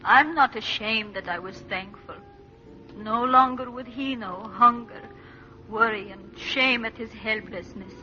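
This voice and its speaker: A woman's voice: on the high side, sharp tone, a little hiss or hum. An actress playing a bereaved widow, narrating her tragedy solemnly in a 1940s radio drama. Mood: bleak, impassioned. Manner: solemn, deliberate, intense. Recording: muffled vintage radio, faint music.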